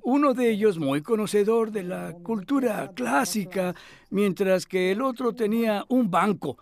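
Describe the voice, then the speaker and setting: A male voice actor dubbing an elderly, modest philosophy professor who reminisces in a measured, hesitant way in an interview. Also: high-pitched, expressive, creaky, darkish tone, full character voice. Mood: measured, explanatory. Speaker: dubbed interviewee. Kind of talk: voice-over dub of a documentary interview.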